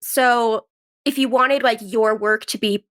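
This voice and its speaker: A woman's voice: a little high, fairly even intonation, slow, a bit bright. A woman in her twenties with a cold, chatting casually in an interview and peppering her youthful, conversational talk with "like". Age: 20s. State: has a cold. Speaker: guest, actress and writer.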